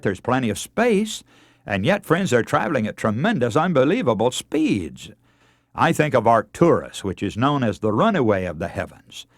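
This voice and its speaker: Animated radio preacher, a man. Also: medium pitch, dry recording, clean studio sound. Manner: earnest, oratorical, addresses listeners as friends. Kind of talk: scripted radio sermon.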